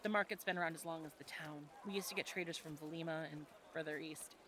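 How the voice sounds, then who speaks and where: A woman playing a friendly, teasing medieval fantasy tavern local in an audio roleplay, talking in a conversational, gently instructive way to a newcomer. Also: sits low, steady tone, fast, background noise, natural voice. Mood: guiding. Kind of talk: audio roleplay acting, adventure ASMR.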